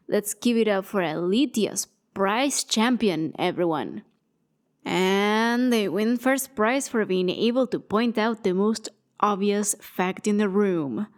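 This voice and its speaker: A woman's voice: mid-range voice, darkish tone. A woman playing an exasperated, sarcastic gambling deity who scolds in a theatrical way, in a fantasy ASMR roleplay.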